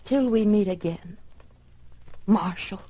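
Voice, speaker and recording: An American actress playing a vengeful, bitter widow in an old-time radio western, cold and accusing. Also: female voice, mid-range voice, takes it slowly, mellow, highs cut off, a little hiss or hum, lightly acted. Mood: cold menace.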